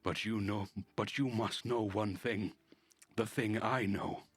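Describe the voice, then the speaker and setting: A man voicing a mysterious, urgent double from a parallel world in a visual novel, speaking in a cryptic, halting, insistent way. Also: fairly low pitch, steady tone, breathy edge, no echo, pitched above the performer's own voice.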